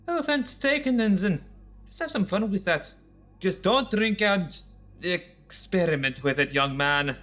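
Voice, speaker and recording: A man's voice: sits high, animated, unhurried, a bit bright. A man playing a courteous ship's doctor and inventor in an audio drama, speaking in precise, formal words. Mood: genial.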